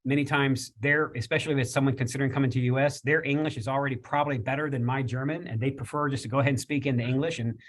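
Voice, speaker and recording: A man's voice: medium pitch, fairly even intonation, very fast, hardly any pauses. Rambling middle-voiced male panelist. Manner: rambling, many restarts and repeated words. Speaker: panelist from a business chamber. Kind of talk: casual panel discussion.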